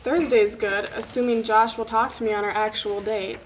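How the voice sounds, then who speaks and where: A young woman playing a wry college student who loves gossip. Her voice is fairly high, reading a drama script aloud with slight noise in the background. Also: steady tone, normal speed, close to an everyday voice, poor audio. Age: young adult. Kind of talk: table read of a pilot script.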